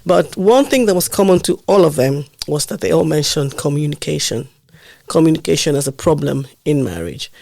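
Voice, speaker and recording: A man hosting a podcast, a bit nasal from a blocked nose, talking in a measured way with many ums and pauses. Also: fairly high pitch, expressive, gravelly, darkish tone, no echo. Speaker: podcast host. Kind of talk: casual podcast conversation.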